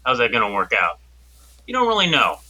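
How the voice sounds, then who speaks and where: Male tabletop player, very bright forceful voice, lively pitch, halting in-character explanations. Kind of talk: improvised in-character role-play.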